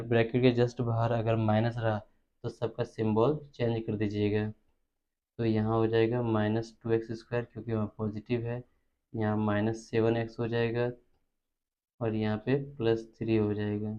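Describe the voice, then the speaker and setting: Indian guy doing a maths lesson, low dark voice, repeats terms as he goes, muffled cheap recording. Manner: instructional, repeats terms as he works. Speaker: maths teacher. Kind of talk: step-by-step spoken lesson. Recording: dull lo-fi recording.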